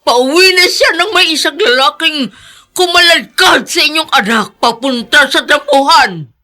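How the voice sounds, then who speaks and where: An actress playing an earnest older nursemaid with a Filipino accent, revealing a painful secret in a radio drama. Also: female voice, sits high, expressive, unhurried, bright, forceful tone, heavily acted. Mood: urgent, emotional.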